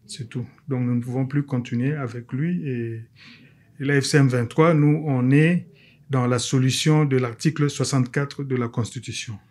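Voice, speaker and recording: A man from Congo, a guest in an interview, answering firmly with halting restarts. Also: medium pitch, fairly even intonation, a little mellow. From Democratic Republic of the Congo.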